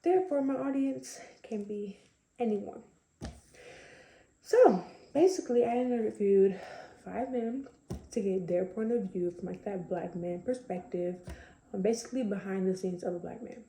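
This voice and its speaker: A young woman with a slightly raspy voice, chatting casually to camera with lots of fillers. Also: medium pitch, moderate pace, mellow, reverberant, low-quality recording. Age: young adult. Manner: chatty, conversational, many fillers. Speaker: vlogger.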